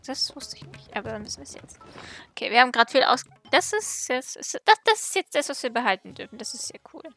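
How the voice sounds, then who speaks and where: A woman's voice: high-pitched, sing-song, fast, gravelly, bright, forceful tone, faint music behind. A German-speaking woman giving casual let's play commentary, reacting informally in short asides.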